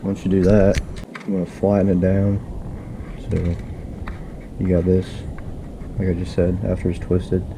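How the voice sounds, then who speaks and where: A man casually walking through a do-it-yourself wiring job, relaxed and rambling with plenty of fillers. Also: sits low, a little slow, long pauses, noisy background. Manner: laid-back, rambling, filler-heavy explaining. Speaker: DIY video host. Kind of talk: casual how-to walkthrough. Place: inside a pickup truck and around it, at night.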